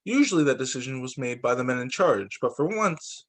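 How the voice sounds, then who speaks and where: A man, a teacher, reading a picture book aloud to students in an expressive, deliberate storytelling way. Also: mid-range voice.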